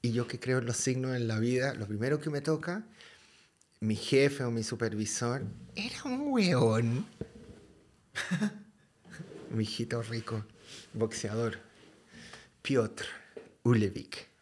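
A man with a Chilean accent tells a story as a podcast guest, lively and loose with slang and curses. His voice sits at an ordinary middle pitch. He talks casually. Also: slow, pauses often, a little hiss or hum. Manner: lively, slangy and swears freely.

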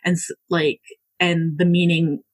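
A young American woman chatting easily through an interview, her voice soft and breathy with a slight husk. She strings her thoughts together with frequent likes and ums, relaxed and unguarded. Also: lowish voice, a little slow. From United States. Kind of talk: casual interview.

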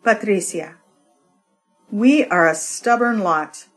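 Woman, slow pace, medium pitch, expressive poetry recital, measured phrasing, deliberate pauses. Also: faint music behind. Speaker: poetry reader.

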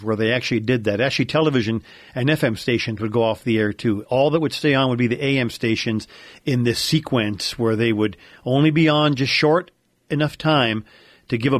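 A man's voice: medium pitch, no room sound. A man with an American accent explaining technical matters informally, like a knowledgeable regular guest on a radio-themed podcast.

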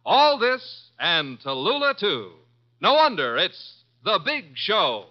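A man's voice: sits high, huge pitch swings, slow. A man announcing radio programs in an emphatic, showman-like 1950s promotional style. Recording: muffled 1950s radio recording.

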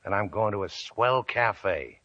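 Paranoid greedy young prospector, snarling, husky voice swings up and down, radio drama. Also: male voice, on the low side, animated, a little slow, fairly bright tone. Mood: boastful. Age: young adult. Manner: suspicious, snarling, mutters to himself. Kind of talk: 1940s radio acting. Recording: dull lo-fi old radio recording.

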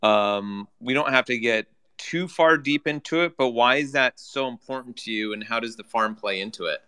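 Bright-toned man moderating a panel. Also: mid-range voice, normal speed, smooth. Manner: asks questions casually.

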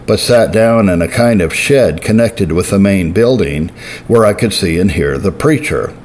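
Male reader, low-pitched, solemn and measured, faint hiss under the voice. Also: a little mellow. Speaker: narrator reading historical religious writing. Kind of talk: measured scripted reading.

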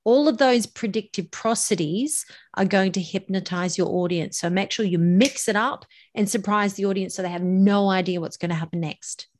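A woman's voice: mid-range voice, moderate pace, hardly any pauses. A middle-aged woman, a voice coach, giving emphatic public speaking advice in a podcast interview. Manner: animated and emphatic, stretches words for effect. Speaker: voice coach, guest.

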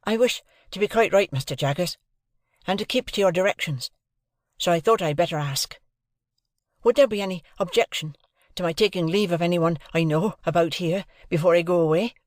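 Man voicing Pip, polite anxious youth, high-pitched. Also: brisk, no room sound, studio quality, lightly acted. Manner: deferential, careful, courteous. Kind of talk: audiobook character dialogue.

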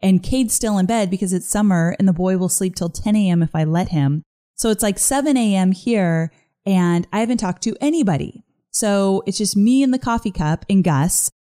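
A woman hosting a casual solo podcast, chatty and upbeat, her voice a little gruff this morning. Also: mid-range voice, moderate pace, mellow. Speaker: podcast host.

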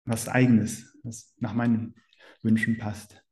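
A man's voice: on the low side, flat delivery, slow pace, a little mellow. A man interviewing a guest, asking long, hesitant questions in a casual tone.

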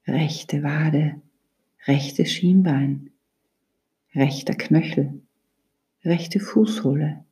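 A woman guides a meditation in a low, dark, relaxed voice. She speaks very slowly and deliberately, leaving pauses between instructions. Her delivery is soft and breathy, close to a whisper, calm and soothing throughout. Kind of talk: guided yoga nidra meditation.

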